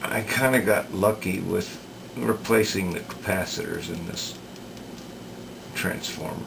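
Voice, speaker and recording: Low, slow, gravelly man thinking aloud. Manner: hesitant, halting, thinking aloud. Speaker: radio repair hobbyist demonstrating work. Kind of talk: unscripted workbench commentary. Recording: clear background noise.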